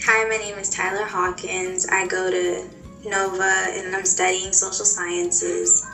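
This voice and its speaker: Slow, halting young woman, echoey. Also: lowish voice, little pitch movement, bright, forceful tone, faint music behind. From Hampton, Virginia. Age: young adult. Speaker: student interviewee. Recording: echoey, distant from mic.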